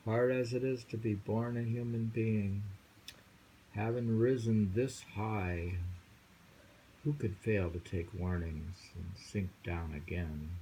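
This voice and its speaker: Slow gravelly man musing over poems. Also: on the low side, steady tone, dark tone, a little hiss or hum, rough recording. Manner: slow, musing, with frequent hums. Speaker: poetry reader and host. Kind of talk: casual reading and discussion of poems.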